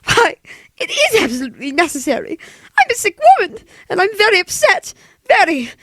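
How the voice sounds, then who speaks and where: An actress playing a frightened, demanding invalid wife alone at night in a radio thriller, with an imperious, nervous delivery. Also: female voice, extremely high pitch, big pitch swings, normal speed, fairly bright tone, dry recording, exaggerated voice. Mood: upset, pleading. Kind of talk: old-time radio thriller acting.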